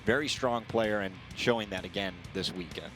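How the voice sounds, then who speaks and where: Low-voiced American golf analyst, male. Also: moderate pace, music in the background. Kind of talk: conversational live sports commentary.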